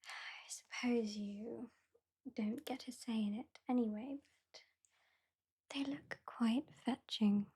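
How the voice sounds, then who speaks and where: An aloof British vampire hypnotist, played by a woman in an ASMR roleplay. Her voice is mellow and whispery, a little high for a woman. She talks very slowly and deliberately, with a dry, dismissive edge. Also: heavily acted. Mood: hypnotic, commanding. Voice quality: soft, breathy, whispery. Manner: slow, deliberate, lightly dismissive.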